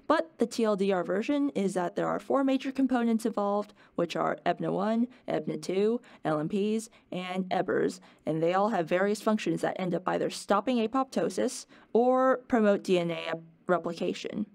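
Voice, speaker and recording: A woman's voice: medium pitch, normal speed, creaky, few pauses, darkish tone, no room sound, close to an everyday voice. A woman playing a wry, playful professor who delivers a scripted lecture in a conversational, plain-explaining way. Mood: explanatory, wry. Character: playful, wry professor giving an unasked-for office-hours lecture. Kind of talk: scripted educational lecture in character.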